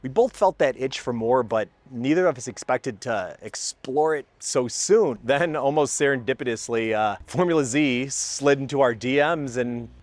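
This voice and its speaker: A man's voice: mid-range voice, normal speed, hardly any pauses, dry recording. A man hosting a car testing video, explaining things in an informal, enthusiastic way with emphatic phrasing. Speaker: host of a car exhaust testing channel. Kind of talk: scripted narration and casual explainer to camera.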